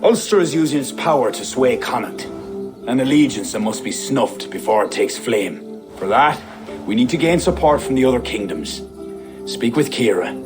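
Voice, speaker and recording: Man acting Irish king, authoritative, composed, formal, mid pitch, video game cutscene, prominent music. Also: expressive, moderate pace, lightly acted. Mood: resolute. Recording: music under dialogue.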